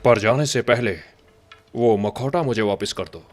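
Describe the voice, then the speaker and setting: A man voicing a stern, protective older warrior father in a game dub, gravelly and measured. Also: mid-range voice, faint music behind, close to an everyday voice. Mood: calm. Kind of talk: Hindi game dub acting.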